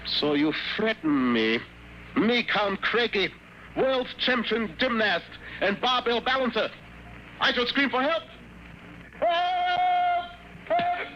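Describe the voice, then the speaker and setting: Pompous menacing german professor, fake mad count, old radio drama, muffled and a bit noisy. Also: male voice, very high-pitched, expressive, slow pace, long pauses, exaggerated voice. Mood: pompous, indignant. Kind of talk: 1950s radio drama acting.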